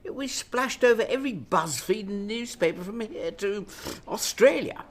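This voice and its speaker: Woman acting a husky, exasperated female Prime Minister. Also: mid-range voice, animated, unhurried. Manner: scolding, sarcastic, emphatic. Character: exasperated, sharp-tongued female Prime Minister under pressure. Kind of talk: radio drama acting.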